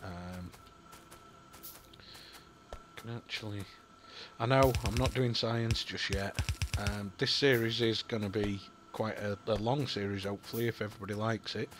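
A man's voice: lowish voice, fairly even intonation, a little slow, background noise. A young man with a Yorkshire accent, rambling and thinking aloud as he commentates on a building game, full of ums and apologies. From Yorkshire, England. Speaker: gaming YouTuber. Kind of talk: casual gameplay commentary.

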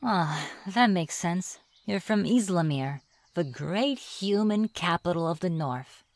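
A woman's voice: fairly low pitch, animated, dry recording. A woman playing a reclusive, flirtatious witch in an ASMR fantasy roleplay, with a playful, mocking manner. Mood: coy, teasing. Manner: playful, mocking, drawn-out taunting. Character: reclusive, teasing, flirtatious witch of the deep woods.

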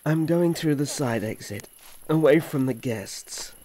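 A man's voice: on the high side, expressive, measured pace, dark tone, low-quality recording. A man playing a protective young elf prince in a fantasy audio drama, earnest and formal, with urgency in his manner. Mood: gentle concern.

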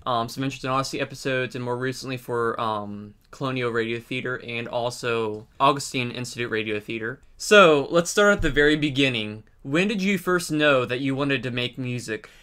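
A man's voice: medium pitch, a little fast, few pauses, pushed and bright, smooth. A man hosting an interview, introducing and asking questions conversationally. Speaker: interviewer, host.

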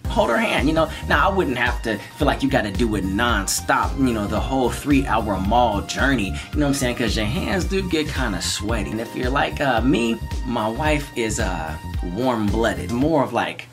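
Man in his 30s, playful vlogger, close camera mic, very lively pitch. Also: normal speed, hardly any pauses, music in the background. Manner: animated, playful, jokes and repeats lines. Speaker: vlogger giving marriage tips. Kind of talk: casual to-camera chat. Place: small bright room indoors. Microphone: camera in front of him at close range.

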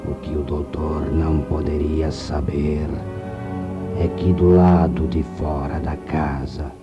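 A man as a very deep, solemn horror narrator. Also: level tone, muffled recording, loud music behind the voice. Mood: solemn, ominous. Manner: solemn, deliberate. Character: grave, solemn male horror-story narrator. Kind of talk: mock-horror radio play narration.

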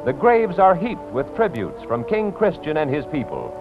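A dull, old newsreel recording with music playing strongly behind an American man narrating. His delivery is solemn and dramatic, the pitch on the high side in classic 1940s announcer fashion. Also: huge pitch swings, moderate pace. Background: loud orchestral music.

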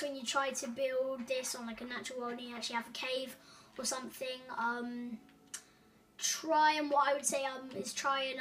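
A woman's voice: sits high, fairly bright tone, distant mic. A woman hosting a casual building walkthrough, rambling with many ums and self-corrections. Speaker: gaming tutorial host.

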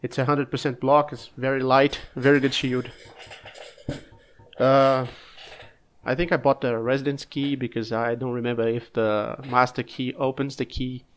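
A man with a cold casually commenting on his own gameplay, relaxed and halting, coughing now and then. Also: mid-range voice, slight background noise. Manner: relaxed, halting, frequent restarts and fillers. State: sick, coughing.

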